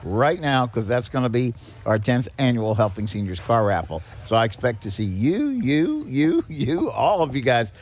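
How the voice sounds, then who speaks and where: Middle-aged man explaining, medium pitch. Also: expressive, moderate pace. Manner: plain-spoken, instructive. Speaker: guest, senior charity executive director. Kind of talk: conversational explaining. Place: on location at a garage.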